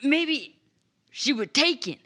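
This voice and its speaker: A woman's voice: sits high, very animated, takes it slowly, bright, forceful tone, pitched above the performer's own voice, exaggerated voice. A woman voicing an earnest, folksy adventurer in a tabletop game, speaking in simple, hesitant phrases with broken grammar. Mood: earnest, uneasy.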